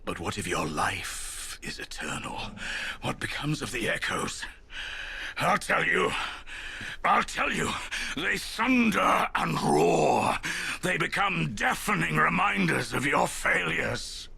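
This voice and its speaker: An actor playing a bitter, world-weary immortal old man in a video game, raspy and breathy, grumbling with slow, brooding drama. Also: fairly high pitch, very animated, sharp tone, exaggerated voice. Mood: anguished. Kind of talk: video game cutscene voice acting.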